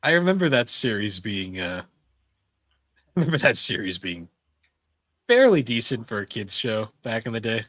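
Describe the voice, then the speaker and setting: A man's voice: lowish voice, sing-song, unhurried, pauses often, fairly bright tone, no room sound, clean studio sound. A man co-hosting a podcast, chatting casually and informally in loose, rambling remarks. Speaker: podcast co-host. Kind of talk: casual chat about anime and games.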